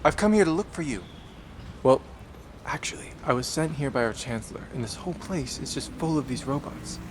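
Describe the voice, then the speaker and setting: A man playing a polite, confused newcomer in an audio drama, speaking naturally and haltingly. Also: medium pitch, animated, natural voice. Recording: clear urban and traffic noise.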